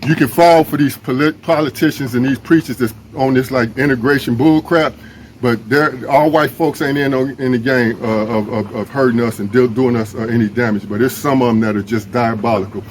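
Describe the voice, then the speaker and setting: A man's voice: mid-range voice, fairly even intonation, brisk, poor audio. An American man speaks informally to his community in a mid-range voice. His delivery is earnest and urgent, and he repeats points for emphasis like an organizer rallying people. The phone recording carries some background noise. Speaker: emergency preparedness and ham radio organizer. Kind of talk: informal community video address.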